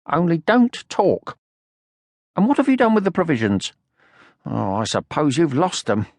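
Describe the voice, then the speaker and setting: A man voicing a small, timid yet boastful young male creature in a children's storybook, whiny, excitable and self-important. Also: fairly high pitch, measured pace, dry recording, pitched above the performer's own voice, exaggerated voice. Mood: bossy. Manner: excitable, screechy, self-important muttering and squealing.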